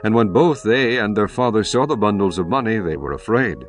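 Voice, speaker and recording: Deep solemn male scripture narrator. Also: lively intonation. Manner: measured, solemn. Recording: music bed behind voice.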